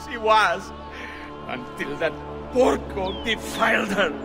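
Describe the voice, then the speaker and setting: A man's voice: a little high, expressive, unhurried, music in the background. An actor playing a grief-stricken, embittered man in a video game, with an Italian accent and an anguished delivery. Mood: bitter grief. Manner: anguished, bitter. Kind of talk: video game cutscene acting.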